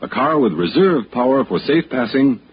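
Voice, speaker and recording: An American man announcing and pitching in 1960s radio commercial style. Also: big pitch swings, normal speed. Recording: muffled, hissy old radio broadcast.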